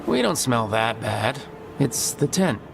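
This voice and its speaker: A man's voice: medium pitch, big pitch swings, slow, gravelly, slight background noise, exaggerated voice. An actor playing a determined male adventurer in a fantasy video game, direct with a dry, casual manner. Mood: quick, bold. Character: determined, wry male adventurer leading a mission.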